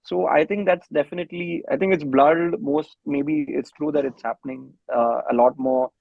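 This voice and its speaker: A man with an Indian accent giving halting, casual interview answers as a musician, repeating words, over a thin phone-like connection. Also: fairly high pitch, steady tone, gravelly, no room sound. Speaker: band musician, interview guest.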